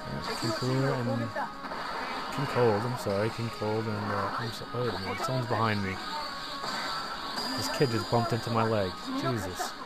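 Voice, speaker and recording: A man's voice: fairly low pitch, steady tone, normal speed, pauses often, poor audio. A man casually narrating a friend's arcade game, chatty and offhand, still getting over a cold. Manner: chatty, offhand, lots of fillers. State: recovering from a cold. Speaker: gameplay narrator. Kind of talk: casual arcade play-by-play commentary. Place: indoor toy store arcade. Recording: phone video over loud arcade music. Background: loud arcade game music.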